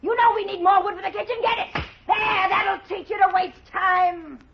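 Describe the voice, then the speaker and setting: Radio drama: shrewish innkeeper mistress, bullying, shrill and high, dull vintage sound. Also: female voice, steady tone, normal speed, raised voice, background noise. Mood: angry, scolding. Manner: shrill, scolding. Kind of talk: old-time radio drama acting. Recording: muffled vintage radio with music behind.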